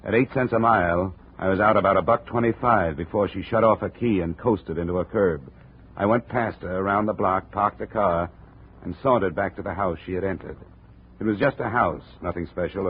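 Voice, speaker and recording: An actor playing a wry, hard-boiled insurance investigator in a 1950 radio detective drama, deadpan and wisecracking. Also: male voice, sits low, brisk, slightly rough. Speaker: narrator and lead actor. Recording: tinny, noisy old radio broadcast.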